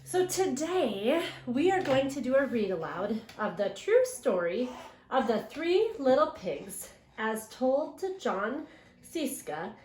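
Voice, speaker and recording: Female elementary teacher, higher-pitched voice, unhurried, talking children through a story lesson with frequent questions. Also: sing-song, darkish tone, a little hiss or hum. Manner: interactive, asks questions and affirms imagined answers. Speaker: elementary English language development teacher. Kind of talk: children's read-aloud lesson. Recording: roomy, echoing sound.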